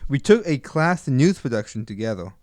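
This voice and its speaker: Dry-humored man, medium pitch. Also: big pitch swings, a little fast, a little mellow, no room sound. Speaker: interview guest, audio drama producer. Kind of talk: light interview banter.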